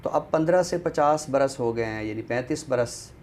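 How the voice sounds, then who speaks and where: A man with a Pakistani accent speaks as a guest in a thoughtful discussion, formal and deliberate, pausing often. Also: mid-range voice, a little mellow, a little hiss or hum. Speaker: guest commentator on a literary show.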